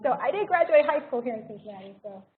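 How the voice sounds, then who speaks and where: A woman's voice: a little high, animated, quick pace. An American woman in her forties giving an informal presentation to students as a guest speaker. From United States. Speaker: guest speaker, healthcare diversity director. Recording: thin phone-like sound with faint noise.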